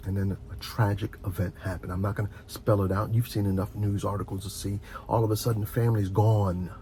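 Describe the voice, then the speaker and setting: A man's voice: lowish voice, flat delivery, quick pace, dark tone, slight background noise. A man giving rambling, unscripted advice as a video host, earnest and encouraging, often repeating words and restarting as he speaks straight to the listener.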